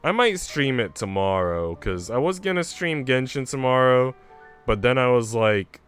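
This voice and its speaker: A man's voice: medium pitch, animated, normal speed, a bit bright, clean tone, faint music behind. A man chatting informally and casually as he livestreams a game. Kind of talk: casual gaming stream chat and reading a visual novel aloud.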